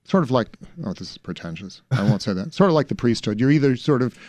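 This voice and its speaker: A man in his forties from Pittsburgh talks about his acting career in a relaxed interview. He is reflective, often backing up to restart a sentence and laughing easily. His voice sits at an ordinary pitch, recorded close on a studio mic with no room sound. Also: fast, dry recording. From Pittsburgh, USA. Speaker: stage actor guest. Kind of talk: casual interview chat.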